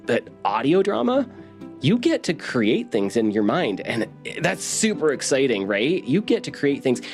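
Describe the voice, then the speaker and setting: A man, an executive producer, explaining his craft in an informal interview style and often checking in with the listener. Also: medium pitch.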